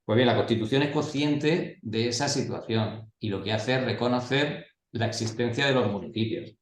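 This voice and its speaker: A man's voice: mid-range voice, normal speed. A man lecturing a class of local-government staff, asking and answering his own questions in a conversational way. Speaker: course instructor. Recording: echoey classroom.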